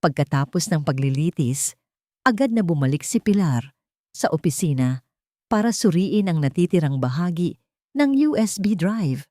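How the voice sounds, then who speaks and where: A woman's voice: sits low, lively intonation, normal speed, mellow, no room sound, studio quality. A woman reading a scripted, dramatic story as narrator.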